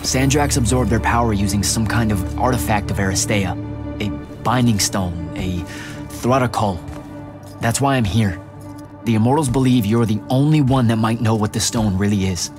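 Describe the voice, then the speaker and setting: A man's voice: lowish voice, normal speed, close to an everyday voice. A man playing an earnest, uneasy young hero in a video game, speaking casually and a little hesitantly. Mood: anxious, earnest. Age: young adult. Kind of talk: video game cutscene acting. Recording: loud music over the voice.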